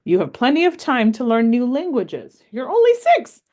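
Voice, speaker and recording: A woman voicing a wise, patient old grandmother in a read-aloud story, gently telling her granddaughter about her life. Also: fairly high pitch, huge pitch swings, moderate pace, pitched above the performer's own voice. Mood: fond.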